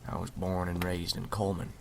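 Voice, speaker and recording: Folksy old-frontier story collector, played by a man: deep, heavily creaky, half-whispered and hospitable, for ASMR roleplay. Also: monotone, brisk, noisy background. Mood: wry, easygoing. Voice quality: gravelly, breathy half-whisper.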